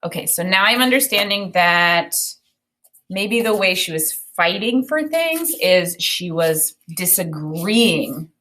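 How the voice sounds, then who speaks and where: A woman teacher reading a children's book aloud, with expressive, deliberate phrasing and explanatory asides. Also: on the low side. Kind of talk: read-aloud of a children's book with think-aloud commentary.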